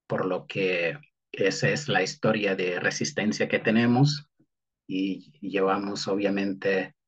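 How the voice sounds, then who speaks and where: Un hombre hondureño cuya lengua materna es el garífuna cuenta en una entrevista una historia, con pausas, muletillas y frases que vuelve a empezar. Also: male voice, sits low, steady tone, slightly rough, dull audio. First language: Garifuna. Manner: halting, many fillers and restarts. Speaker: guest historian. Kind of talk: interview answer, historical account.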